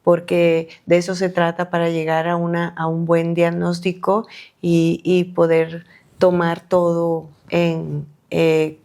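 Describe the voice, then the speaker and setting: Middle-aged woman, low voice, gravelly, halting, full of fillers, podcast guest doctor. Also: completely flat delivery, a little mellow. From Colombia. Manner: halting, many fillers and restarts. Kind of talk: podcast interview.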